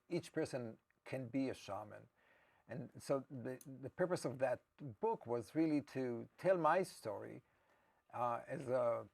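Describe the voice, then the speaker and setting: Interview guest: Israeli-accented man, gravelly voice, mid-pitched, thoughtful and halting. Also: a little mellow. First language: Hebrew. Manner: hesitant and reflective, with frequent restarts. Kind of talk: personal storytelling in an interview.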